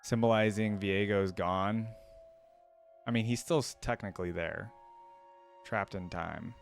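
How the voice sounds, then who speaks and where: A man giving casual, halting reaction commentary as a video host, informal and easily impressed. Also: fairly low pitch, steady tone, vocal fry, soft background music.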